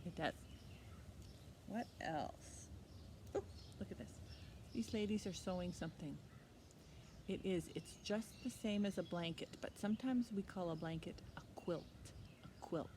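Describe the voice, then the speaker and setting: Slow-paced woman hosting children's storytime, noisy recording. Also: lowish voice. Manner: sing-song, addressing young children, repeats key words. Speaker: children's library storytime host. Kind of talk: children's storytime teaching, questions and simple repetition. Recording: clear steady background noise.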